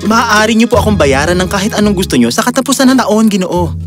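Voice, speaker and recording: Performer playing an eager, animated young servant boy. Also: mid-range voice, fast, close to an everyday voice. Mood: polite, earnest. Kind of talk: children's fairy-tale dialogue. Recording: music behind the voice.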